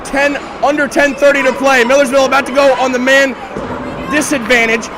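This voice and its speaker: A young man calling live hockey play-by-play, his voice a little raspy from a weekend of games. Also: very high voice, flat delivery, a little fast. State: slightly raspy from three games. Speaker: play-by-play commentator. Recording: loud crowd and arena noise.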